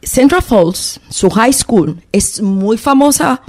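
A woman with a Puerto Rican accent hosting a podcast interview, lively and quick to react. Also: fairly high pitch. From Puerto Rico. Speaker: podcast host. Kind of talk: conversational interview.

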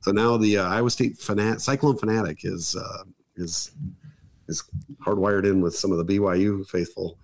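A middle-aged man with a hoarse voice, a guest chatting casually and rambling with many fillers and restarts. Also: low voice, fairly even intonation, normal speed, darkish tone. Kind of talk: casual chat. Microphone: new microphone on a table.

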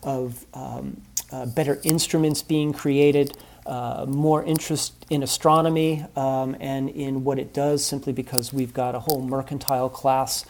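A man gives interview answers about history at a middle pitch. He talks slowly and thoughtfully, stopping often on ums and uhs. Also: flat delivery, creaky, a little mellow, slight background noise. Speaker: expert guest, historian.

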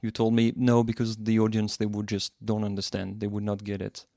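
A man's voice: on the low side, monotone, fairly quick, gravelly, warm tone, no echo, clean studio sound. A man hosting a podcast, asking long, winding interview questions. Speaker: podcast host. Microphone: podcast microphone.

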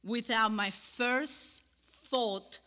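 An older woman from Cuba with a Cuban Spanish accent, giving an earnest sermon to a congregation and pausing between phrases. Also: on the high side, fairly even intonation, pushed and bright, clean tone. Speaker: guest speaker. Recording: hall with long reverb.

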